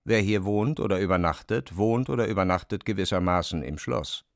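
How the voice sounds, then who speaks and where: A man's voice: deep voice, brisk, creaky, no room sound, studio quality. A man narrating a scripted literary radio drama as its storyteller. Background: faint music.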